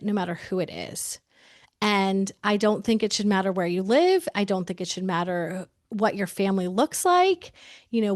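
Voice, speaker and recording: A woman's voice: medium pitch, dry recording, clean studio sound. A woman in her forties talking candidly as an interview guest, emphatic and chatty, often saying "like" and restarting her sentences. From United States.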